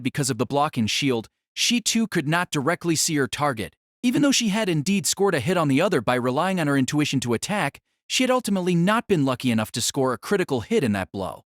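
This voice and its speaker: A man narrating a scripted action story as an audiobook reader, with a measured, formal, literary delivery. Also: on the high side, no echo, studio quality.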